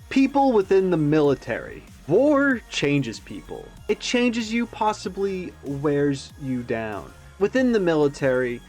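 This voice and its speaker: A man's voice: fairly high pitch, darkish tone. Musing man rambling through casual commentary, music behind. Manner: musing, tentative, thinking aloud. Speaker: YouTube reaction host.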